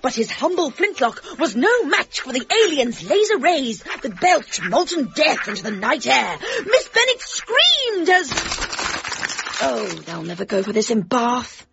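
Melodramatic female author, played by a comic actress, theatrical period-romance reading, very animated, slightly dull sound. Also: high voice, unhurried, creaky, heavily acted. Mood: weary, dismissive. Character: melodramatic woman novelist reading out her romance draft. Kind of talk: theatrical storybook narration.

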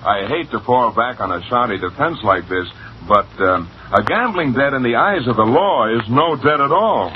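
An actor playing a polite, wry young American gambler in old-time radio comedy, a little hesitant. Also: male voice, medium pitch, animated, normal speed, hardly any pauses, natural voice. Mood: wry, reasonable. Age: young adult. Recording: muffled, hissy old radio recording.

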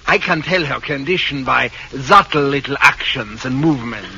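An actor playing a fussy, self-important psychiatrist in an old-time radio comedy. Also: male voice, mid-range voice, expressive, normal speed, sharp tone. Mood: officious. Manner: officious, fussy. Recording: dull old radio recording, slight noise.

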